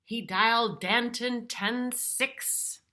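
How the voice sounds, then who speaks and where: A middle-aged woman reads a storybook aloud for preschool children. Her delivery is slow and her voice is bright. She explains things simply, as one does for young listeners. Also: a little high, fairly even intonation. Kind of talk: picture-book read-aloud with explanatory asides.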